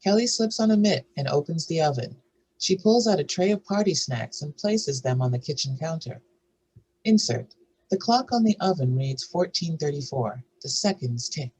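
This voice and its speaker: Husky narrator reading screenplay directions. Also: medium pitch, expressive, moderate pace, darkish tone, low-quality recording.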